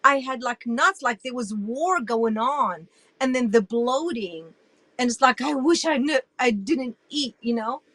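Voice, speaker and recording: Animated woman in her sixties from Iraq. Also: on the high side, unhurried, no room sound. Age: 60s. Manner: animated and emphatic, with frequent restarts. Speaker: guest. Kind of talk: casual interview storytelling.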